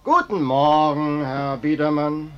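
An actor playing a cheeky, mock-courteous ex-circus arsonist in a German radio play, with a breezy manner. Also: male voice, a little high, slow pace, highs cut off, slight background noise. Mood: mock-polite.

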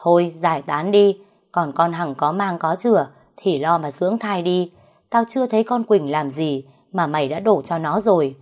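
A woman's voice: fairly low pitch, moderate pace, darkish tone, close to an everyday voice. Woman voicing a stern, scolding household matriarch. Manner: scolding, commanding.

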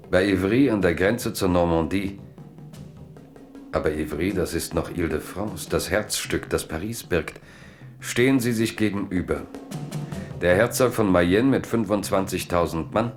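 A man with a deep voice narrates a radio drama from a script. His telling is deliberate and dramatic, with some music behind him.

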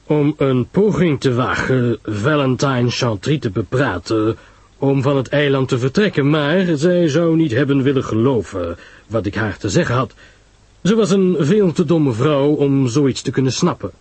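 A man voicing a shrewd, courteous, self-assured detective in an audiobook story, husky, deliberate and emphatic in explaining. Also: mid-range voice, animated, darkish tone, no echo. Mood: measured, regretful.

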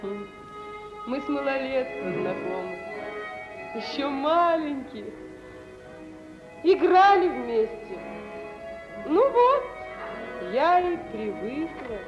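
Young bride for vintage radio play: high, swooping, wistful, wounded; echoing over music. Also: female voice, sing-song, slow pace, pauses often, exaggerated voice. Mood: hurt, defiant. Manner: yearning, theatrical delivery. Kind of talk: 1950s radio theatre acting. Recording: muffled old radio recording, echoey hall, music.

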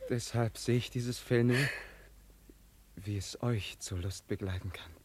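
An actor playing a proud, devoted young knight in a radio drama, formal and halting in his fervour. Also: medium pitch, fairly even intonation, darkish tone, breathy edge. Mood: proud, deferential. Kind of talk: classic German radio drama acting.

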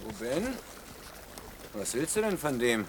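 An actor in a German radio play playing a haughty, suspicious mule driver who talks curtly and dismissively. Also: male voice, medium pitch, sing-song, measured pace, slight background noise. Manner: curt, dismissive.

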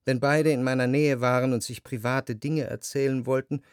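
An actor playing a reflective, possessive, melancholy gentleman who narrates a literary radio drama in a measured, articulate, introspective way. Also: mid-range voice, fairly even intonation, clean tone, dry recording, clean studio sound, close to an everyday voice. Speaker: first-person narrator. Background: music.

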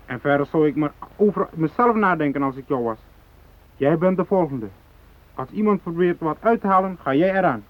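An actor in a radio drama playing a fanatical, unyielding hijacker who speaks in cold, threatening declarations. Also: male voice, a little high, animated, brisk, natural voice. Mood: hard, threatening. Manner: declarative, threatening, ideological speechmaking. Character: fanatical South Moluccan hijacker, unyielding, cold, righteous militant. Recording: thin phone-like radio drama recording.

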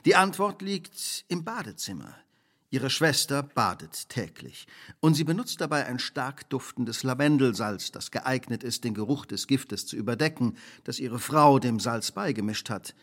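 An actor playing a shrewd, relentless detective in a radio drama, speaking precisely, formally and theatrically. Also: male voice, mid-range voice, lively intonation, moderate pace, fairly bright tone. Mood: grave, revealing. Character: shrewd, relentless male detective laying out a crime.

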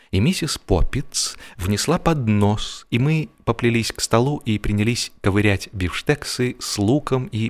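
A man narrating a humorous literary audiobook with expressive, theatrical phrasing and mock seriousness. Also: on the low side.

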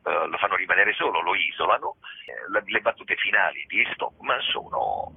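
A man, a theatre director and actor, explaining a play in a radio interview, pausing often to search for words, heard over a phone line. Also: sits low, very animated, creaky, a little hiss or hum. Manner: searching for words, frequent pauses and hedges.

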